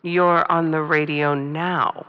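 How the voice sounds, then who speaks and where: A woman's voice: low-pitched, little pitch movement, slow, clear, resonant tone. A woman playing a weary, proud astronomer in a melodramatic comedic radio drama. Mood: dry. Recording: dull, echoing radio-play sound with music.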